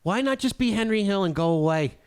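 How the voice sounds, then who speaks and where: Higher-voiced man chatting about movies. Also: animated, fairly quick, a little mellow, smooth. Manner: sweary, opinionated. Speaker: podcast co-host. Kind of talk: casual movie chat.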